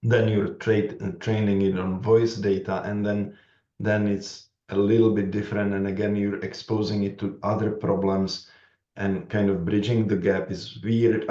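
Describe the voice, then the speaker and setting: A man with a Czech accent, speaking as a panelist at a conference, thoughtful and halting with many restarts. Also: fairly low pitch, mellow. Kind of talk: panel discussion remarks. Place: conference room. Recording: echoey room, dull lo-fi sound.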